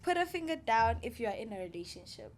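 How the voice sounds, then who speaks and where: A woman's voice: fairly high pitch, very animated, fast, very noisy. A young woman co-hosting a student podcast, asking questions in a playful, teasing way and laughing often. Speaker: podcast co-host. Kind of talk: casual interview chat.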